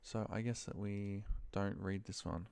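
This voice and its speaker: Slow, low-pitched man muttering through a task. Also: fairly even intonation, vocal fry. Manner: muttering short fragments while working. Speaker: office worker demonstrating a data-entry task. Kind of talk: informal screen-recording walkthrough, thinking aloud. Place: at a computer, screen recording.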